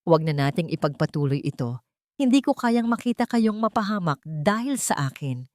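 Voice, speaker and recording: A woman's voice: lowish voice, sing-song, normal speed, darkish tone, no room sound, clean studio sound. A woman voicing a fearful, self-sacrificing mother with a trembling voice in an audio drama. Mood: frightened pleading.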